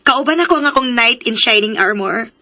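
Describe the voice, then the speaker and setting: A woman's voice: fairly high pitch, lively intonation, a little fast, pushed and bright, full character voice. A woman with a Filipino Cebuano accent acting a playful, affectionate young daughter in a radio drama, gushing and teasing.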